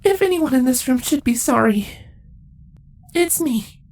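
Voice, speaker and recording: Man in falsetto as a sobbing changeling mother. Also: expressive, unhurried, darkish tone, full character voice. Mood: grieving. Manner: falsetto, trembling, pleading. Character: anxious grieving changeling mother, tearful.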